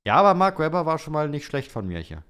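A man's voice: on the high side, sing-song, fast talker, fairly bright tone, smooth. A young man co-hosting a podcast, talking informally and rambling as he thinks aloud. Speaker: podcast co-host. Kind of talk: casual podcast chat.

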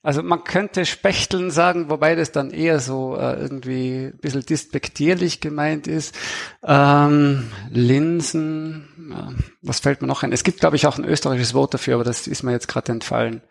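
A man's voice: fairly high pitch, steady tone, moderate pace, creaky. A man, an author talking conversationally as an interview guest. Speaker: thriller author, podcast guest.

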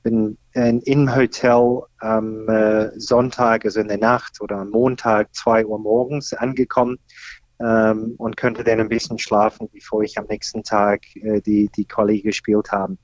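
A man's voice: fairly low pitch, flat delivery, a little mellow, no echo, highs cut off. Hesitant man in his fifties. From Australia. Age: 50s. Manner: hesitant, many fillers and pauses. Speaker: golf teacher and senior champion guest. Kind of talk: podcast interview.

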